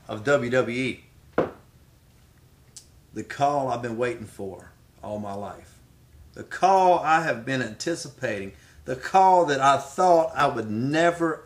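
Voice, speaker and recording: A man's voice: mid-range voice, fairly bright tone. A middle-aged man, speaking as a pro wrestler making his case with dramatic, emphatic repetition. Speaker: professional wrestler. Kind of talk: promotional speech answering questions.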